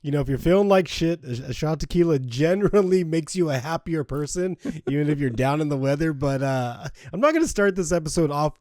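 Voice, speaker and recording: A man co-hosting a podcast in casual, rambling, jokey chat, his voice slightly hoarse from a cold. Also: fairly high pitch, animated, fairly quick, few pauses, darkish tone, no echo, clean studio sound. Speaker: podcast co-host.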